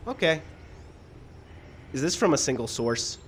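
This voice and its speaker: Fussy, plaintive screenwriter, outdoors. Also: male voice, fairly high pitch, very animated, a little slow. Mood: fussy, groggy. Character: fussy, suspicious, aggrieved male screenwriter. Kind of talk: live old-time radio style comedy acting. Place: outdoor park stage. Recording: outdoor live show with background noise. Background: birds and outdoor ambience.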